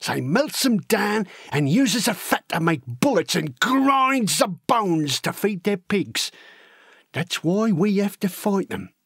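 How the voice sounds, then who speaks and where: Gullible teen recruit, performed by a man, earnest and excitable storyteller for a children's audiobook, high voice with big swings. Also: slow pace, husky, no echo, studio quality, full character voice. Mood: excited, outraged. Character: eager, gullible teenage lad itching to be a soldier. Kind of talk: acted children's audiobook monologue.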